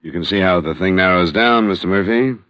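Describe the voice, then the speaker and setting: A man's voice: low voice, brisk, a bit bright, no room sound. A man playing a cool, direct insurance investigator in a vintage radio crime drama, clipped and matter-of-fact. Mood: cool, probing. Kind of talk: 1940s-50s radio crime drama, narration and dialogue. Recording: dull vintage radio recording.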